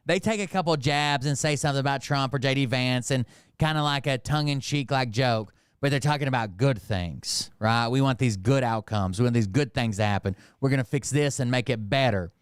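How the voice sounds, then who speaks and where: A man's voice: medium pitch, fairly quick, clean tone, dry recording, studio quality. A man from Montreal hosting casual political commentary in a blunt, mocking, sweary way. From Montreal, Canada. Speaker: host commenting on political clips.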